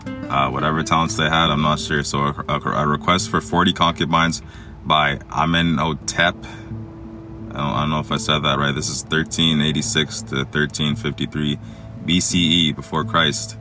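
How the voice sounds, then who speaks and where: A man from Egypt hosting a solo podcast, reading a text aloud and commenting on it in a halting way with many fillers and restarts. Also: rumbling low, steady tone. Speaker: podcast host. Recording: music playing underneath.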